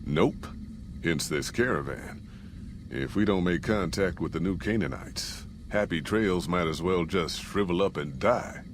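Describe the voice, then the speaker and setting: An American actor playing a gruff, folksy caravan boss in a post-apocalyptic video game, with plain-spoken frontier drawl. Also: male voice, low voice, a little slow, background noise. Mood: matter-of-fact. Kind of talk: scripted video game character dialogue.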